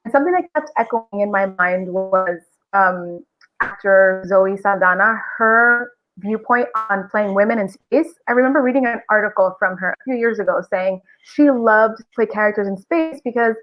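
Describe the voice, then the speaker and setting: A woman's voice: mid-range voice, moderate pace, lo-fi sound, faint music behind. A woman talking reflectively and at length as a guest voice actor in a casual podcast interview.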